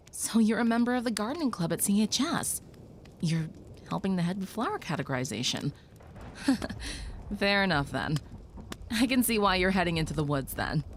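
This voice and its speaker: A woman voicing a gentle, protective young female vampire in an audio roleplay, speaking softly and reassuringly, sometimes whispering. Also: medium pitch, a little hiss or hum, lightly acted.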